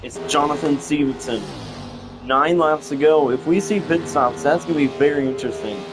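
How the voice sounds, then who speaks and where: A young male commentator calling a simulated stock car race play-by-play, halting and often repeating himself, with engine noise and music behind him. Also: fairly high pitch, low-quality recording. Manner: halting, repeats phrases, restarts.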